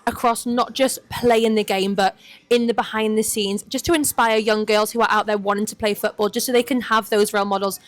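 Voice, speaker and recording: Fast-talking woman, Geordie-southern accent. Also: medium pitch, fairly even intonation, no room sound. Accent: mixed Geordie and southern English. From Newcastle, England. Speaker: guest. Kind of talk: casual chat.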